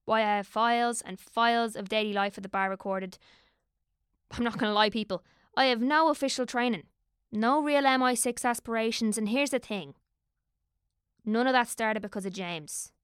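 A woman's voice: a little high, normal speed, fairly bright tone, clean tone, no echo, studio quality. A young woman narrating an audio drama in a wry, conspiratorial, sweary way.

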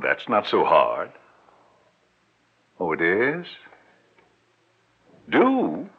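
An actor playing a grandiose, smooth-talking older con man who lectures in a pompous, fatherly way, in a 1940s radio drama. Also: sits low, wildly swooping pitch, very slow, exaggerated voice. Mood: coaxing. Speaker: swindler. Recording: tinny old radio broadcast.

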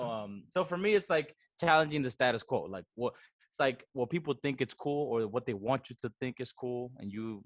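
A man's voice: mid-range voice, a bit bright, no echo. A man in his twenties with a Bronx New York accent, chatting casually as an interview guest, slangy and dropping in Spanish words. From the Dominican Republic and the Bronx, New York. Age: 20s. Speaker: podcast guest, coffee entrepreneur.

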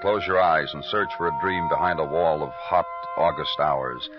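An actor playing a world-weary big-city police detective in an old radio crime drama, terse and brooding. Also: male voice, rumbling low, normal speed. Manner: terse, brooding, poetic narration and blunt questioning. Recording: dull old radio recording with music.

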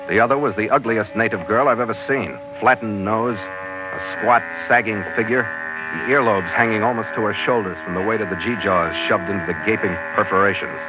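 A man's voice: lowish voice, normal speed, natural voice. A man playing a tough American sea captain narrating a 1940s radio adventure in clipped, hard-boiled, dramatic fashion. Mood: wry, grim. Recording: muffled old radio broadcast with music.

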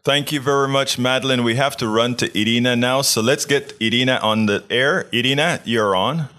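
A man hosting a live call-in discussion, moderating in a halting way and often repeating his words. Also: medium pitch, bright, forceful tone. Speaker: host of a call-in show.

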